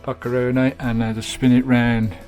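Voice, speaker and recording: British male vanlife vlogger, mid-pitched, casual and chatty, slangy matey delivery, music in the background. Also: little pitch movement, moderate pace, darkish tone. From United Kingdom. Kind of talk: casual hands-on video tour and fitting commentary. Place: inside a small campervan.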